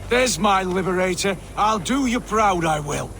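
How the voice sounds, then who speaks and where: Eager vengeful freed captive, lively swooping pitch, loyal, video game, loud music behind. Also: male voice, measured pace, exaggerated voice. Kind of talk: video game dramatic acting.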